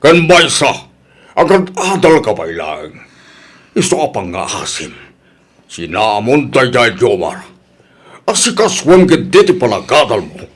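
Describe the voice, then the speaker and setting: Casting: male actor as stern middle-aged Ilocano dad, scolding and emphatic, mid-pitched with big swings. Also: very animated, measured pace, long pauses, slightly rough. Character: stern, disapproving father lecturing his grown daughter. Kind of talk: Ilocano audio drama acting.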